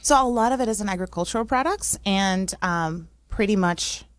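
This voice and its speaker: A woman's voice: mid-range voice, moderate pace. Friendly Puerto Rican-born woman consultant. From Puerto Rico. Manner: friendly, laughs often. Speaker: guest, business consultant. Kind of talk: casual interview talk.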